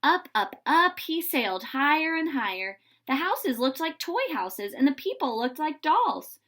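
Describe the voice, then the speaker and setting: Woman, fairly high voice, storybook read-aloud, animated delivery, suspenseful pauses. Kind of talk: expressive reading of a children's storybook.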